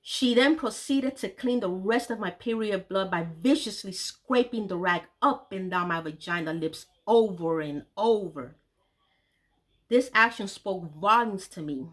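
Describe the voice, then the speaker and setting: A woman reading aloud from her own memoir, steady and mid-pitched. She tells the story in an earnest, grave way, letting heavy moments sink low and quiet.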